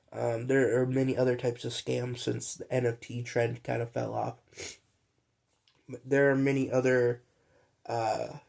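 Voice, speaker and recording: A man's voice: mid-range voice, gravelly, mellow. A young man who is sick and coughs now and then, talking in a loose, rambling, slangy vlog monologue full of fillers. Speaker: vlogger.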